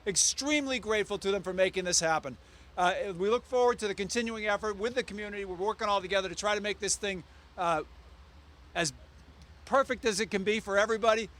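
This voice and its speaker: A man's voice: sits high, fast talker, a bit bright. A man speaking as a state senator outdoors, delivering an enthusiastic, emphatic public speech of thanks. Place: outdoor public event in rain.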